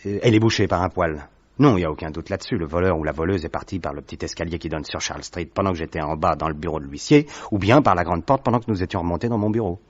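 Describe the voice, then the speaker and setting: A man's voice: sits low, very fast, dry recording. An actor playing a distraught young diplomat who recounts his ordeal earnestly. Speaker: narrator. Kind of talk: radio drama narration. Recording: dry, dull lo-fi sound.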